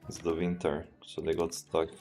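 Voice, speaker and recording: A man with a Serbian accent, a streamer thinking aloud as he plays a strategy game in a relaxed, halting way and answering chat. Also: rumbling low, faint music behind. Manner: relaxed, thinking aloud, often trails off and restarts. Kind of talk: live gameplay commentary.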